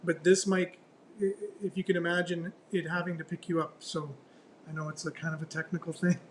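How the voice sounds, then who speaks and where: A man hosting a casual morning news talk show, loud and chatty, posing rhetorical questions. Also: on the high side, level tone, a little fast, a little hiss or hum. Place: radio studio. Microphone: studio mic close up.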